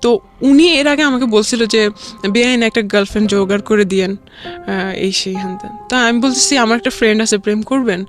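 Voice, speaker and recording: A woman's voice: a little high, fairly quick, faint music behind. A young woman with a Bangladeshi accent tells a personal story in a casual, conversational way, often acting out quoted dialogue. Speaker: guest telling a personal life story.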